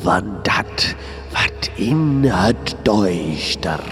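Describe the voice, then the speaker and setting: An actor playing a strange, sinister old lord of a lonely castle in a gothic horror comedy radio play, speaking in drawn-out, ominous, terse phrases. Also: male voice, on the low side, expressive, long reverb tail. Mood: menacing, hushed.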